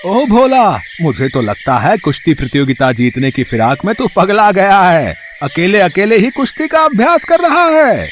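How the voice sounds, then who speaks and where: A man voicing a mocking, excitable village headman in a cartoon moral story, with a sneering, exaggerated manner. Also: high voice, moderate pace, hardly any pauses, lo-fi sound, a little hiss or hum.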